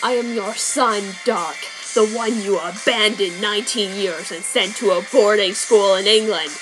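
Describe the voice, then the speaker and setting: A woman voicing a smug, scheming young man in a flat, low-key villain delivery. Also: unhurried, music in the background, close to an everyday voice, rough recording.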